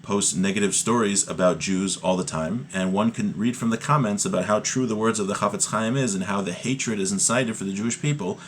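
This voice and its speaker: A man's voice: on the low side, fairly quick, few pauses, a little hiss or hum. A man giving an informal religious lesson, reading from a text and explaining it point by point, often repeating key phrases. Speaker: religious teacher giving a class.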